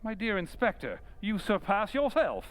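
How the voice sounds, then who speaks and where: Guy doing classic radio detective, highish voice that swoops around, polite but sure of himself, bit theatrical. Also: very animated, moderate pace. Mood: composed. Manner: courteous, theatrical, inquisitive. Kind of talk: old-time radio drama acting.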